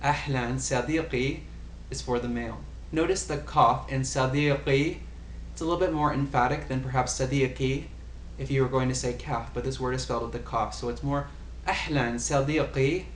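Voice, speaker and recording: A man's voice: mid-range voice, fairly even intonation, fast talker. A man giving a casual language lesson, patiently explaining and repeating example phrases. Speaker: language tutor. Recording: echoey room, faint ambient noise.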